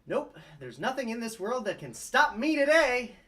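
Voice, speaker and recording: A man's voice: very high voice, sing-song, normal speed, sharp tone, heavily acted. A man reading the part of a cheerful, naive young lawyer in an upbeat, eager way. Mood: chipper.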